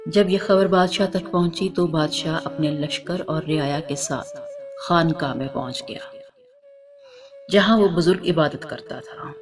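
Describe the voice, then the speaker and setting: A woman narrator reading a scripted religious moral story. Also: lowish voice. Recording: music under the voice.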